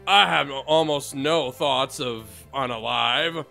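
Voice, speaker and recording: A man's voice: mid-range voice, slow, creaky, pushed and bright, faint music behind, full character voice. A man voicing a jaded, foul-mouthed female team leader in a theatrical, crude comedic dub of a visual novel. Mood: bitter, ranting. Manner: theatrical, crude, world-weary.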